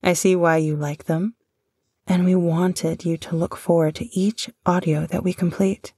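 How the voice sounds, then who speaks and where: Slow, husky woman, gentle and amused. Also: lowish voice, fairly even intonation, no room sound, clean studio sound. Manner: gentle, affectionate, dryly amused. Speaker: voice actor. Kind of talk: intimate audio roleplay.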